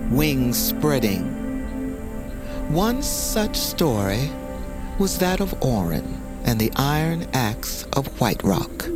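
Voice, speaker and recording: Slow, solemn male fantasy narrator over music. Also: mid-range voice. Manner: solemn, deliberate. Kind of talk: dramatic scripted fantasy storytelling. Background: prominent music.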